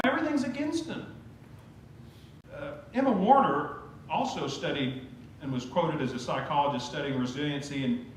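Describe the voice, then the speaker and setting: Male pastor, high lively voice, conversational storytelling sermon, very echoey sanctuary with faint hum. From Oklahoma. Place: church sanctuary.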